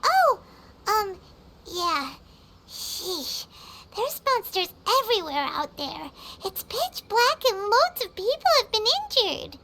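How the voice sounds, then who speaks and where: Very high squeaky childlike fairy sidekick. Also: female voice, sing-song, slow pace, background noise, heavily acted. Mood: worried. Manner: chirpy, exclamatory. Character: small childlike fairy companion, chatty, excitable, squeaky. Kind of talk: video game voice acting.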